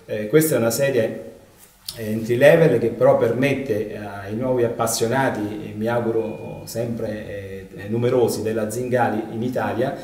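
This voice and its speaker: A middle-aged man, a loudspeaker company owner, answering interview questions with many hesitations in a showroom. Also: fairly low pitch, fairly even intonation, moderate pace, far from the mic. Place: living room showroom with speakers. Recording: roomy, off-mic sound.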